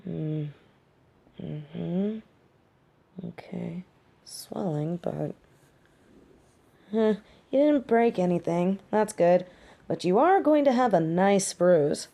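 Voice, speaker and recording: A woman's voice: fairly low pitch, animated, slow, gravelly, pauses often, warm tone, full character voice. A woman acting a caring, brash and loyal young superheroine in a scripted audio roleplay, with a conversational, sassy delivery. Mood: gentle, concerned. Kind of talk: scripted one-sided audio roleplay drama.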